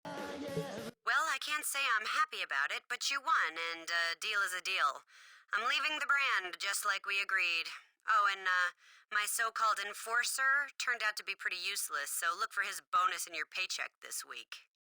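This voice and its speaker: A woman's voice: medium pitch, animated, moderate pace, bright, forceful tone, rough recording. A woman voicing a haughty, scheming wrestling boss in a video game cutscene. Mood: bitter.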